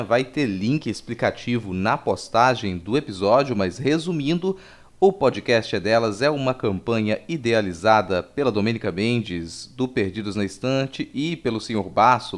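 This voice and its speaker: Brazilian man, medium pitch, podcast host, informal announcements.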